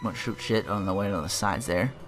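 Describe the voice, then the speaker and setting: A man's voice: on the low side, fairly quick. A man giving casual gameplay commentary, rambling and correcting himself, with some swearing. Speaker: let's player, host. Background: faint game music.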